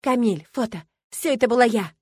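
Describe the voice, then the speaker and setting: A woman voicing a furious, spiteful younger woman in an audiobook, shouting accusations shrilly at her romantic rival. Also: fairly high pitch, animated, fairly quick, breathy edge, no room sound, pitched above the performer's own voice. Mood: spiteful, frantic. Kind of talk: dramatic audiobook character dialogue.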